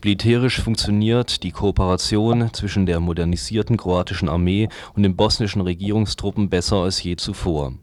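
A man reading newspaper articles aloud for a radio news programme in a scripted, measured news style. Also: deep voice, fairly even intonation, a little hiss or hum. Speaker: radio reader of press articles.

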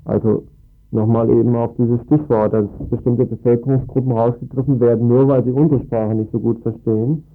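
A man with a gravelly, creaky voice at a medium pitch, on a thin narrowband radio recording. He works as the interviewer, building long, reflective questions with some hesitation in an informal discussion.

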